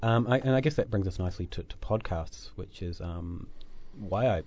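Low-voiced New Zealand man chatting. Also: moderate pace, mellow, highs cut off, a little hiss or hum. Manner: chatty, self-deprecating, lots of fillers and restarts. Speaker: podcast host. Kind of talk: casual chat. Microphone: desk microphone.

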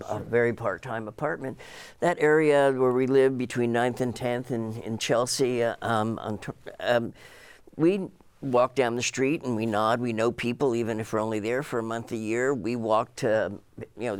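A man's voice: medium pitch, clean tone, no room sound. A man on a discussion panel, talking conversationally with some fillers.

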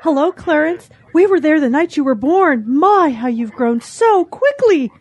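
A woman's voice: sits high, very animated, a little slow, darkish tone, a little hiss or hum. A woman voicing a cheerful, kindly female farm chicken in a children's audio drama, with a lilting delivery.

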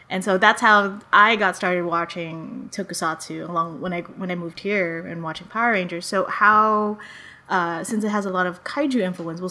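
A woman born in the Philippines hosts the interview. She asks friendly questions and keeps the guests going with quiet murmurs of assent. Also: lowish voice. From Philippines, born in Quezon City. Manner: attentive, frequent affirming murmurs.

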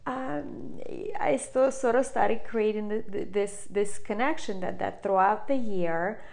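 A woman from Russia, a chef talking as a guest in a casual interview, pausing often and restarting her sentences. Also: medium pitch, gravelly, a little mellow. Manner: halting, many fillers and restarts.

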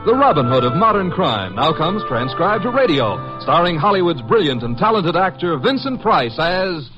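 A man's voice: fairly high pitch, very animated, moderate pace. A man announcing a 1950s radio drama in a scripted announcer's style. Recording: muffled old radio recording, loud music.

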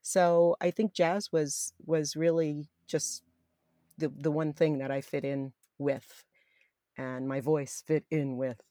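A middle-aged woman with a deep voice for a woman, fondly and enthusiastically reminiscing in an interview. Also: fairly even intonation, takes it slowly, warm tone, no room sound, clean studio sound. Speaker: interviewee, former college radio jazz announcer. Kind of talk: reminiscing in an oral history interview.

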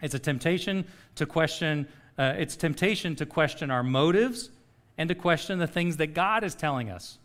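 A man's voice: fairly high pitch, normal speed, a bit bright. A middle-aged man preaching a sermon in a conversational way, often checking in with "okay?". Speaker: pastor.

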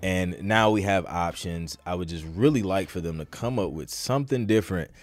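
A man's voice: deep voice, moderate pace. A man hosting a podcast in casual, slangy talk, often repeating phrases as he goes. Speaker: podcast host.

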